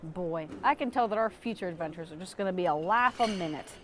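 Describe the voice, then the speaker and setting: A woman playing a small, sardonic, quick-witted halfling bard in a fantasy audio drama, with a theatrical way of talking. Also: fairly low pitch, fairly bright tone, a little hiss or hum, close to an everyday voice. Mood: wry.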